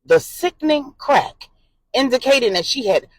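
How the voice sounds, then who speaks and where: Husky, animated woman storyteller. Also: high-pitched, moderate pace, dry recording. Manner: expressive, suspenseful, voices the characters' dialogue. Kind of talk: dramatic narration of an adult serial story.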